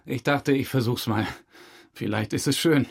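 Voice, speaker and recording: Smug murder suspect, husky medium-pitched voice, breezy, chummy, evasive, crime radio play interrogation. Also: male voice, moderate pace. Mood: unrepentant, confiding. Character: chummy, unrepentant male murder suspect, evasive and self-satisfied. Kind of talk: German crime audio drama, interrogation dialogue.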